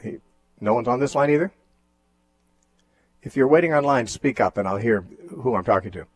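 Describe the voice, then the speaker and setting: A man hosting a radio call-in show, teaching on the Bible in a measured, thoughtful way with frequent fillers and restarts. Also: mid-range voice, expressive, no echo, soft background music.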